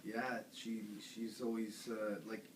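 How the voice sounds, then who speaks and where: A man's voice: on the low side, flat delivery, slow pace, a loud crowd. A man who plays guitar in a band, chatting casually in a rambling, hesitant way. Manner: rambling, hesitant, lots of fillers and restarts. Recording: echoey room, distant mic, faint hiss.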